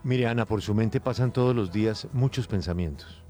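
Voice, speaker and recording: A man hosting a morning talk show, bantering quickly and teasing his co-hosts. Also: fairly low pitch, dark tone, faint music behind. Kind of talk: brisk, joking studio banter.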